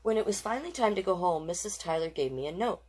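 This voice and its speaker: A woman's voice: fairly low pitch, animated, fast, darkish tone, breathy edge. A woman hosting a children's storytime, reading picture books aloud with playful, encouraging asides to young listeners. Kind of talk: picture-book read-aloud with chatty asides.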